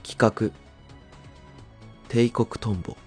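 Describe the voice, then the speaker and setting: A man's voice: on the low side, steady tone, slow, husky, faint music behind. A man reading scripted credits as the narrator of an audio drama.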